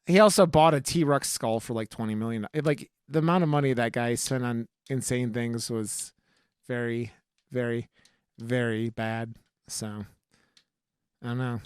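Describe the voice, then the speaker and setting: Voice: mid-range voice, a little slow, a little mellow, clean tone, no echo, clean studio sound. A podcast host in a casual film discussion, talking informally with plenty of swearing and slang.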